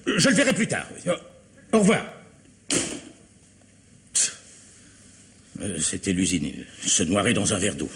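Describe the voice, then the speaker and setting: An actor playing an anxious, defensive middle-aged factory owner in a French radio mystery, speaking formally with agitated hesitation in a husky voice. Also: male voice, low voice, huge pitch swings, brisk, a little hiss or hum. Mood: irritated.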